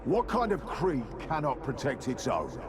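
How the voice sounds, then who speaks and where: An actor playing a vengeful madhouse-survivor serial killer in a video game, taunting and theatrical. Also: male voice, mid-range voice, huge pitch swings, unhurried. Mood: accusing. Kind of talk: dramatic video game acting.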